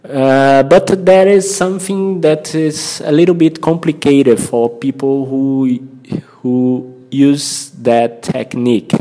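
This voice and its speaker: A man with a Brazilian Portuguese accent speaks in a soft-edged, relaxed tone at a slow pace. He hosts an informal language lesson in hesitant English, often searching for words and repeating phrases as he goes. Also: mid-range voice, rough recording. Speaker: language-learning podcast host.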